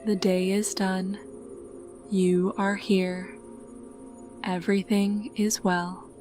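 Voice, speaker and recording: A woman narrates a scripted sleep meditation, calm and soothing. Her delivery is very slow and unhurried, and her pitch stays flat at a medium height. Music plays clearly behind her voice.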